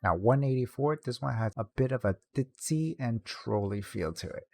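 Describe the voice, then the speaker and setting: Female video narrator: notably low, dark tone, casual showcase delivery. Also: lively intonation, normal speed. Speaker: video presenter.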